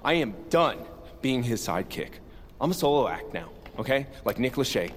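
A man's voice: medium pitch, big pitch swings, moderate pace, big-room sound, slight background noise, natural voice. An actor playing a young, dramatic, sarcastic man who swears freely in an audio comedy drama. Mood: indignant. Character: excitable, dramatic, foul-mouthed man, sulky would-be hero.

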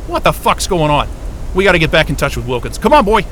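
A man's voice: a little high, sing-song, quick pace, pushed and bright, a little hiss or hum, rough recording. A man playing an irritable, foul-mouthed astrophysicist at a polar station in a naturalistic audio drama, with a blunt, sarcastic, agitated manner. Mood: alarmed, shouting.

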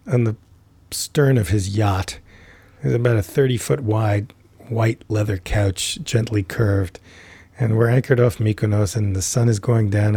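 Mellow, husky-voiced man telling a story. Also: lowish voice, no room sound. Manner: unhurried, thoughtful, many fillers and restarts. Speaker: podcast guest, tai chi teacher. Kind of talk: reflective storytelling in conversation.

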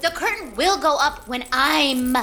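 A woman's voice: high voice, expressive, a little slow, gravelly, pushed and bright, heavily acted. A woman playing a vain, pompous Broadway star in a scripted comedy audio drama, talking in a theatrical way. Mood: indignant. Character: haughty self-important Broadway diva star, indignant and vain.

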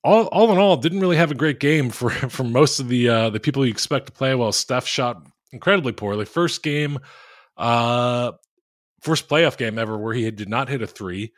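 A man with a flat, oafish monotone chats as a podcast co-host, rambling and poking fun at himself. His voice is captured close and dry over a call mic, with no room sound around it. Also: medium pitch, moderate pace, no echo. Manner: rambling, self-mocking, crude jokes. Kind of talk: casual podcast chat.

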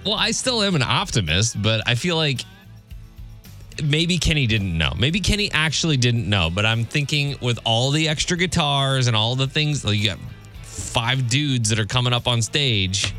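A man's voice: medium pitch, animated, normal speed, bright, forceful tone, faint music behind. A middle-aged man co-hosting a morning radio show with casual, wry banter, speaking close to a studio microphone.

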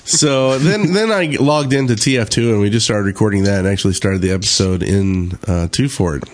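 A man with a fairly low voice hosts an informal gaming podcast. He speaks in casual, unscripted conversation with the others on the call. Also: normal speed. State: sick, on cold medicine. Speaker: podcast host. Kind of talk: casual group chat about video games.

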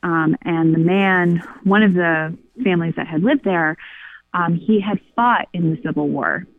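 A woman's voice: on the low side, a little slow, no room sound. A woman caller telling a story casually over a phone line. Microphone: phone call. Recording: narrow tinny phone line.

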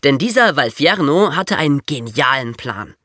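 An eager, chatty boy tells stories in a scripted podcast. His voice is high and very lively, with a bright, forward edge and a dry, close sound. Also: moderate pace, no echo, heavily acted. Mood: excited storytelling. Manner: eager, animated storyteller. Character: excitable, chatty boy sharing investigated theories. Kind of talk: scripted podcast banter and storytelling.

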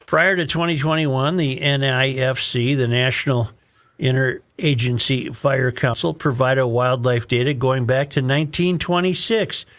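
Male American talk host, mid-pitched, emphatic and opinionated, delivering read-aloud commentary. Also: unhurried, a bit bright. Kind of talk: reading an article aloud with commentary.

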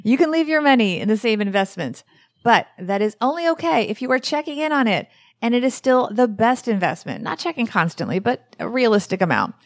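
A woman's voice: on the high side, very animated, fairly quick, no echo, studio quality. A woman in her forties hosting a podcast, chatting casually and giving upbeat wrap-ups. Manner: upbeat, plugging and summing up. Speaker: podcast host.

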